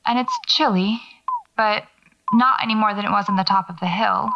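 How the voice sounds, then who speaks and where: A woman's voice: mid-range voice, moderate pace, background noise, natural voice. A woman acting in an audio drama as a wry horror novelist who records understated, musing field notes to herself while out alone at night. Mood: observant, musing. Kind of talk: naturalistic audio fiction monologue, spoken field notes. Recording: dull lo-fi cassette-style tape.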